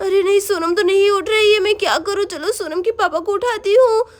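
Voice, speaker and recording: A woman's voice: extremely high pitch, fairly quick. A woman voicing an injured mother who pleads tearfully for help. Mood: pleading.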